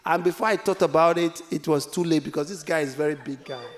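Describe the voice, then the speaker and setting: A man from Africa preaching a sermon, dropping to whispers and rising to loud exclamations, laughing as he tells a story. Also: fairly high pitch, quick pace. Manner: laughs while telling a story.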